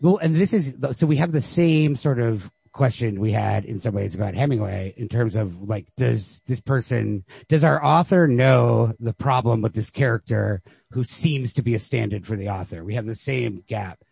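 A man with a creaky, rough-edged voice talks casually about literature as a podcast co-host. He is informal and emphatic, and the recording sounds dry and close. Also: medium pitch, moderate pace, few pauses, darkish tone, dry recording.

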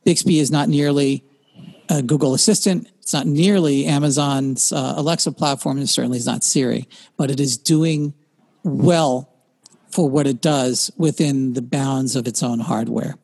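Man talking voice tech, halting. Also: medium pitch, vocal fry, a little mellow. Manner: rambling, many fillers and restarts. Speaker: podcast co-host. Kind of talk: casual tech chat.

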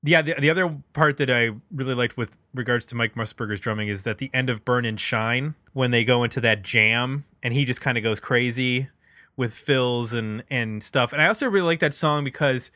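A man's voice: medium pitch, moderate pace, sharp tone, no room sound, studio quality. A man talking casually and analytically about music as a podcast host, feeling his way through his phrasing. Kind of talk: casual album discussion.

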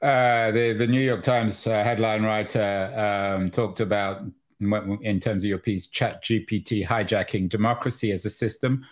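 A man hosting a conversational interview, building up to his questions in halting, hesitant phrases. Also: fairly low pitch, fairly even intonation. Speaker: interview host.

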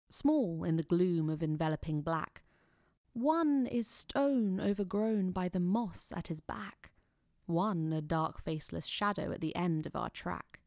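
A woman narrating an audio play in a measured, atmospheric, poetic style. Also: lowish voice, warm tone, no echo, studio quality.

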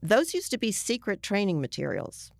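An American woman speaking conversationally as an interviewed journalist, explaining emphatically and often halting mid-sentence to rephrase. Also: medium pitch, huge pitch swings, gravelly, dry recording, studio quality.

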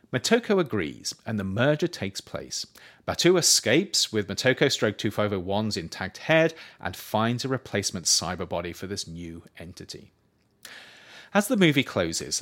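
A man's voice: fairly low pitch, fairly bright tone. Man narrating with widely swinging intonation. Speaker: author reading own book aloud. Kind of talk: scripted audiobook narration.

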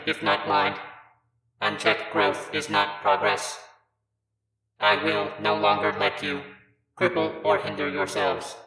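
A commanding machine mind, voiced by a man speaks each sentence slowly, like a proclamation. The tone is bright and lifted. It sits back in a roomy, echoing space with faint music beneath it. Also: a little high, pitched above the performer's own voice, full character voice. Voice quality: slow, solemn and proclamatory. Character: cold commanding all-knowing AI overlord. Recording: roomy echo, distant, faint music behind.

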